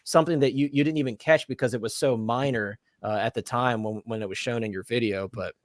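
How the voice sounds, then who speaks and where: A man's voice: medium pitch, a little fast, dry recording, clean studio sound. A man hosting a casual toy-collecting podcast, speaking earnestly with frequent fillers and careful hedging. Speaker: podcast host.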